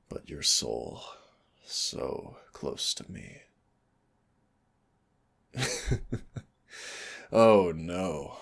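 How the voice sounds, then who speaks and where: A man playing a brooding, tender, winged demon prince in a romantic audio roleplay, speaking in a theatrical, intimate way with a deep, husky, gravelly voice. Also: a bit bright, full character voice. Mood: teasing, seductive, then mock horror. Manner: slow, theatrical, teasing endearments and solemn declarations.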